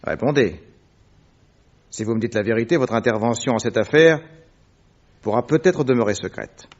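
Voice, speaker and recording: A man's voice: mid-range voice, moderate pace, close to an everyday voice. A man playing a shrewd, commanding detective in a French radio mystery, speaking with precise, methodical, theatrical assertiveness. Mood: methodical, stern. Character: shrewd, commanding male detective laying out an accusation. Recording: dull, lo-fi old radio recording.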